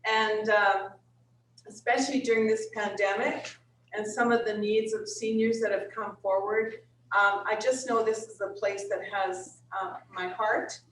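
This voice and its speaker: A woman's voice: mid-range voice, steady tone, a little slow, a little hiss or hum. An older retired woman offering herself as a board director, speaking earnestly with some hesitation. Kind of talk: candidate speech at a meeting. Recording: echoey room, far from recorder.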